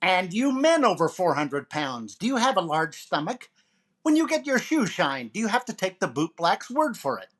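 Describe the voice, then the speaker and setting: A man's voice: sits high, big pitch swings, normal speed. A man playing a brash, wisecracking comedian as a jive-talking disc jockey in 1940s radio comedy style, with showy, punchy patter. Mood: upbeat, showy.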